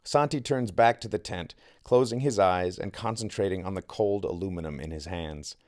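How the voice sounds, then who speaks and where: A man's voice: low voice, normal speed, a little mellow, no room sound, clean studio sound. A man reading their own novel aloud as scripted audiobook narration.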